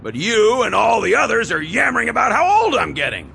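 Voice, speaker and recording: Blustering aircraft tycoon, roaring, high swooping voice, gravelly, sharp and forceful. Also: male voice, huge pitch swings, a little fast, a little hiss or hum, exaggerated voice. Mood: furious blustering. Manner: roaring, indignant, bullying. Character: big blustering 46-year-old male aircraft boss.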